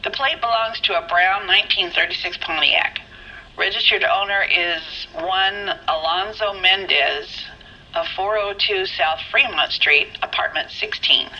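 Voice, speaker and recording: An efficient, formal 1940s police dispatcher. Her lowish voice is piercingly bright and matter-of-fact, coming through a muffled radio or phone line. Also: measured pace, breathy edge, full character voice. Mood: businesslike. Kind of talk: video game drama acting. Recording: dull, band-limited radio or telephone line.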